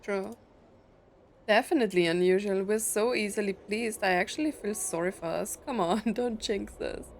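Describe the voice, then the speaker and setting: A woman's voice: mid-range voice, moderate pace, a little hiss or hum. A woman giving casual, chatty commentary as a gaming streamer, reacting in short interjections.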